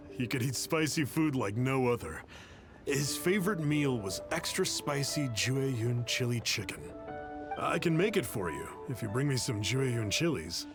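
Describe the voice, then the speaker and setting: A plain-spoken townsman from a video game, measured and a little reserved. His voice is mid-pitched, with music clearly playing behind him. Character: plain-spoken townsman, reserved but helpful old friend.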